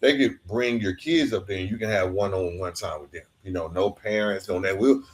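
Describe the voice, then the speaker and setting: A man's voice: low-pitched, a little fast. A man hosting a conversational interview, reflective and informal, sharing his own anecdotes and often checking in with a tag question. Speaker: podcast host.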